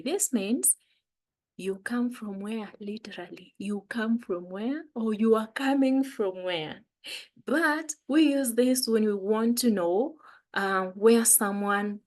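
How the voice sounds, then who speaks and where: A woman with a Kenyan accent teaching a beginner language lesson, patiently repeating phrases. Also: mid-range voice.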